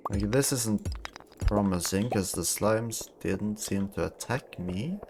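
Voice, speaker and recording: A man's voice: on the low side, slow, noisy background. A man casually chatting and bantering as he streams a video game with friends. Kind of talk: casual chat while gaming with friends. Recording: music playing behind the voice.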